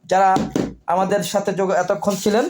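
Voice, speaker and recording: High-pitched Bangladeshi man pitching products. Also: completely flat delivery, noisy background. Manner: repetitive, deliberate announcing in short phrases. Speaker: product seller and presenter. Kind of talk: sales pitch for electronics.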